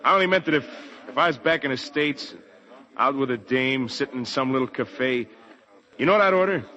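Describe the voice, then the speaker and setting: A man's voice: medium pitch, a little fast, pushed and bright, soft background music, close to an everyday voice. An actor playing a brash, chummy young serviceman talking in slangy 1940s radio drama style. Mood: wistful banter. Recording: dull 1940s radio recording.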